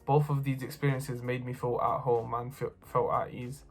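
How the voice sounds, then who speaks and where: A young man, a student giving a plain, earnest personal account with short pauses. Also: mid-range voice, little pitch movement, loud music behind the voice. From North London. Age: young adult. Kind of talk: personal testimony to camera.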